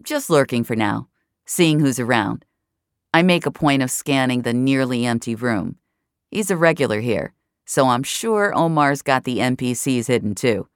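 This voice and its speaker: A jaded, sardonic narrator of a sci-fi mystery podcast. Her voice is very low and moves around expressively in a close, dry recording. She tells it in a wry, conversational first person. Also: animated, normal speed, dry recording. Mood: teasing, wry.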